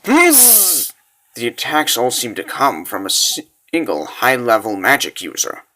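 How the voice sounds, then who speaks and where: A man voicing a calm, formal protective machine companion in a comic dub, measured and dutiful. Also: mid-range voice, noisy background. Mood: cautious.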